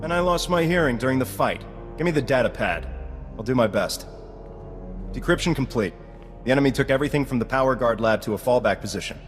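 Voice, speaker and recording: An actor playing a loyal, earnest field agent in a video game, dutiful under pressure. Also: male voice, mid-range voice, lively intonation, fast talker, long reverb tail, soft background music, close to an everyday voice. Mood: earnest, resolute. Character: earnest, loyal male field agent, shaken but helpful. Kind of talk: video game cutscene acting.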